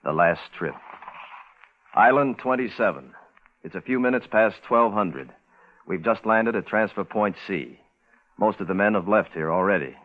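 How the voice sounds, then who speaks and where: An actor playing a steady, pragmatic military team leader, clipped and matter-of-fact, in 1950s radio drama style. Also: male voice, on the low side, normal speed, long pauses, lightly acted. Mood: grim, terse. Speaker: lead actor and narrator. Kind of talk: 1950s radio drama acting, terse log narration. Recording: noisy, tinny 1950s radio recording.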